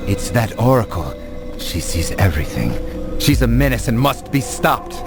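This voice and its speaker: An actor playing a worried, loyal resistance comrade in a video game, speaking urgently and expressively. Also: male voice, mid-range voice, big pitch swings, normal speed. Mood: troubled. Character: anxious, loyal male resistance ally. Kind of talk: dramatic video game acting. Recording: game cutscene with music behind.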